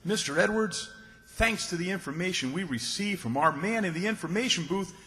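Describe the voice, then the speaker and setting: A cheery, officious bus station manager played by a man in old-time radio comedy style. His high voice is bright and carries long hall reverb. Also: lively intonation, brisk. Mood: pleased, officious.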